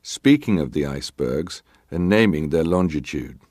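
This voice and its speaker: Deep-voiced, formal ship's officer. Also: male voice, lively intonation, a little mellow, no room sound, studio quality. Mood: guarded, composed. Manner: measured, clipped, formally deferential answers. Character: formal ship's officer testifying, guarded and terse. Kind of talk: dramatised reading of hearing testimony.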